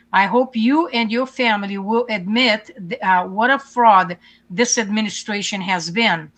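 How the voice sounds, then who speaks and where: A woman's voice: a little high, a bit bright. Woman talking earnestly. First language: Hungarian. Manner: earnest, many fillers and restarts. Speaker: guest. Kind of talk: casual interview talk.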